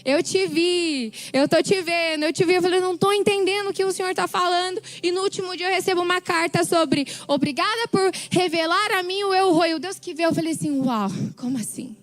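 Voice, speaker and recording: A woman preaching a passionate sermon with a Brazilian Portuguese accent, speaking with emphatic repetition and a slightly worn, hoarse voice. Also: very high-pitched, brisk, few pauses. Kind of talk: sermon testimony.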